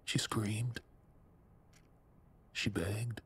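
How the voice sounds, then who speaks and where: An actor playing a guilt-ridden male lab assistant in an audio drama, halting and subdued, with a husky voice. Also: on the low side, steady tone, full character voice. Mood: haunted confession. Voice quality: husky, breathy.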